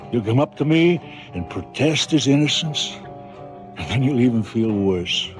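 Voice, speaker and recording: Radio drama crime boss, male actor: cynical, menacing, sardonic, very expressive mid-range pitch, light music underneath. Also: measured pace, close to an everyday voice. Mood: brooding contempt. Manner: talks of killing as ordinary business, sardonic, brooding. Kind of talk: stylised 1970s radio drama acting.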